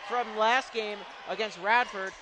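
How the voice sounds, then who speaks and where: A man's voice: sits high, a little slow, noisy background. A man giving live color commentary and stats on a basketball broadcast. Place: courtside in an indoor arena.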